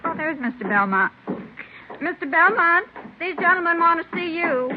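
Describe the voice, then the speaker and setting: An actress playing a polite, helpful American store clerk in a 1950s radio drama. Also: female voice, extremely high pitch, lively intonation, normal speed. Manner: polite, obliging. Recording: muffled, noisy old radio recording.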